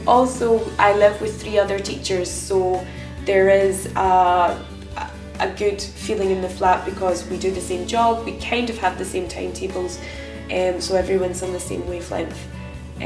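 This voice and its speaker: Scottish woman, flat even delivery. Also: fairly low pitch, normal speed, far from the mic. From Scotland. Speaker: English teacher. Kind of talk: recruitment video interview. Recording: roomy sound from off-mic, music bed.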